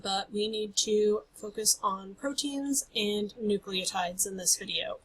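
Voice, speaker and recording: A woman's voice: medium pitch, measured pace, a bit bright, rough recording. A woman instructor losing her voice, giving a recorded lecture over slides in an explanatory way. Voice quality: husky. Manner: explanatory, apologetic about her voice.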